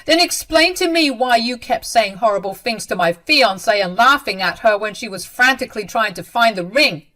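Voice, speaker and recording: A stern, angry grown man, acted out by a woman narrator, confronting family in a dramatized story. His delivery is hard, forceful and commanding. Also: a little high, animated, moderate pace, hardly any pauses, voice pushed up. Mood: angry, accusing. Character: stern, angry adult son defending his fiancee.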